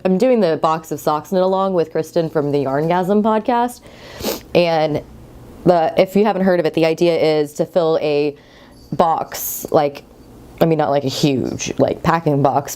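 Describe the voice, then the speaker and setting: Woman podcast host, low mellow voice, stuffy from a cold, chatty and rambling. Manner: chatty, rambling, friendly asides. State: congested from a cold, sniffly. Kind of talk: casual knitting podcast chat.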